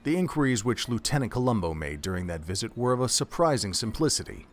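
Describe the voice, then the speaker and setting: A man's voice: medium pitch, big pitch swings, fast talker, soft background music. A man reading scripted third-person narration as the storyteller of an audio drama.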